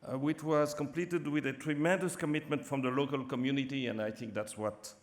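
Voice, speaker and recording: A man with a non-native English accent co-hosting an awards ceremony, speaking in a measured, halting way with many fillers. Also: mid-range voice, fairly even intonation. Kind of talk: formal ceremony announcing. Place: large theatre stage. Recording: long hall reverb from a theatre stage.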